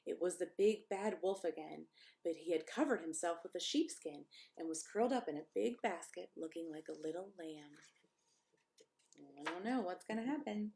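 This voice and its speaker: A woman's voice: fairly low pitch, expressive, normal speed, dark tone, slight background noise. A woman pastor reading a children's story aloud expressively, with chatty asides to young listeners.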